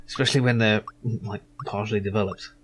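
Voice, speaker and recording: Low-voiced musing man, gaming commentary. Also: fairly bright tone, slight background noise, low-quality recording. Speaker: gaming video host. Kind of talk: casual playthrough commentary.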